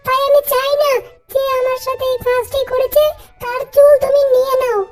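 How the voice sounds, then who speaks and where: A woman's voice: very high voice, little pitch movement, moderate pace, soft background music. A woman voicing a kind, polite young girl in a cartoon fairy tale, earnest and deferential. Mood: earnest pleading. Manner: earnest, deferential, sweet pleading.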